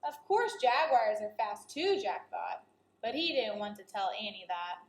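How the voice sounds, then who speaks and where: A woman's voice: medium pitch, animated, a little slow, reverberant. A woman teacher reading a children's story aloud, expressively and speaking directly to her young listeners.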